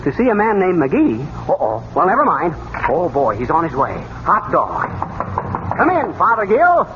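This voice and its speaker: A man's voice: sits high, wildly swooping pitch, normal speed. A man playing a boastful, bungling, folksy husband in a 1930s radio comedy, talking in blustery slang. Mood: flustered, folksy. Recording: noisy, dull 1930s radio broadcast.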